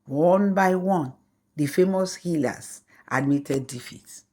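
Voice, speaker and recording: A woman telling a folktale as scripted storybook narration, in a slow, measured way. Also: low-pitched, darkish tone.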